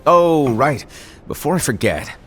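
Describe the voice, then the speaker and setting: An actor playing a whimsical, teasing and mysterious mentor in a video game cutscene. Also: male voice, a little high, sing-song, measured pace, faint music behind. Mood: playful, casual. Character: playful, mysterious male mentor, the masters' teacher. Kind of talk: animated game cutscene acting.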